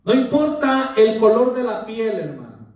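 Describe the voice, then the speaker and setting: A man's voice: very high voice, big pitch swings, a little slow, a little mellow. Man, pastor mid-sermon; lists and repeats for emphasis; very reverberant space. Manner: earnest, keeps calling listeners "brother". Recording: very echoey room, mic far off.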